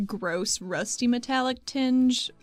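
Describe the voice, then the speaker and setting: A woman's voice: fairly high pitch, slow pace, dry recording, faint music behind. A woman chatting casually as a player in a tabletop roleplaying game, informal and wry.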